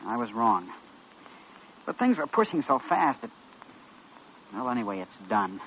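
An actor playing a proud, troubled young American intern, clipped and earnest, in an old-time radio drama. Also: male voice, mid-range voice, very animated, unhurried. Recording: dull, noisy old radio recording.

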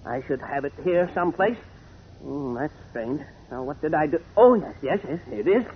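An actor playing an eccentric, fussy amateur detective in a 1940s radio serial, self-important and given to old-fashioned phrasing. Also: male voice, on the high side, animated, moderate pace, close to an everyday voice. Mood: fussy, pompous. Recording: muffled, faintly noisy 1940s radio recording.

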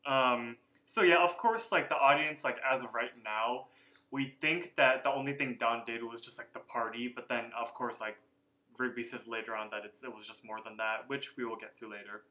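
A man's voice: mid-range voice, moderate pace, bright, forceful tone. A young man co-hosting a podcast, chatting casually about a cartoon with lots of "like" fillers. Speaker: podcast co-host. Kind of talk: casual chat recapping a cartoon.

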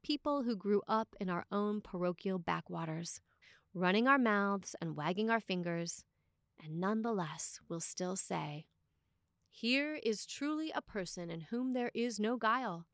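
A woman priest reads a scripted sermon in a deliberate way, with emphatic pauses. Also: medium pitch, lively intonation, fairly bright tone, dry recording, studio quality.

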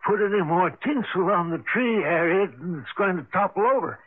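An actor playing a calm, reassuring older husband and father in a 1980s radio drama, gently chiding and unhurried. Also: high voice, slightly rough. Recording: muffled, narrow-band radio broadcast.

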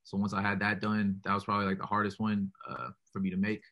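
A young man with a deep, bright-edged voice answers interview questions about his music. He talks quickly and casually, with little rise and fall, and fills every sentence with "like" and "you know". He sounds relaxed and laid back. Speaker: rapper being interviewed.

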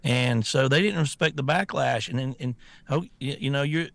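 A man's voice: mid-range voice, normal speed, vocal fry, fairly bright tone, dry recording. A man co-hosting a political talk show, talking in a fired-up, stammering way with many restarts. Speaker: co-host.

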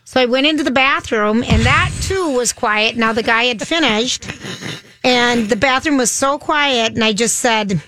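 A woman co-hosting a radio show, telling long rambling stories in a chatty, loud-voiced way. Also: a little high, unhurried, no room sound. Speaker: radio co-host. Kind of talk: casual radio chat. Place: radio studio.

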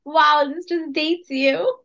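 A young woman co-hosting a podcast, chatting casually in an animated way. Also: sits high, slow pace. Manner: animated, laughs easily. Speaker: podcast co-host. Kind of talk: casual chat.

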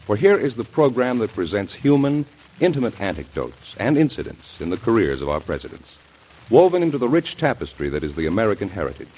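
A male old-time radio announcer with a low voice reads his script earnestly. His pitch moves widely for emphasis, and the recording is dull and muffled. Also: big pitch swings, brisk.

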